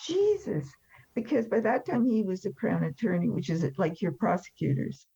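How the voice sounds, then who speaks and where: Creaky older woman, muffled recording. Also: mid-range voice, sing-song, normal speed, dark tone. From born in France, emigrated after the war. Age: 70s or older. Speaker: interview guest telling a recovery story. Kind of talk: candid personal storytelling in an interview.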